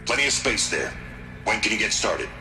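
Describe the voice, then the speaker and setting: A man's voice: on the low side, big pitch swings, fairly quick. A man with an American accent playing a resolute, commanding middle-aged military chief in a game, firm and authoritative. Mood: grave, commanding. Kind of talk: video game cutscene voice acting. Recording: roomy echo, music behind.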